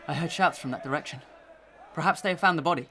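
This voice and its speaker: An actor playing a methodical, suspicious gentleman accuser in a Victorian audio melodrama, telling his account formally and dramatically. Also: on the high side, fast, slight background noise. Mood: urgent, grave.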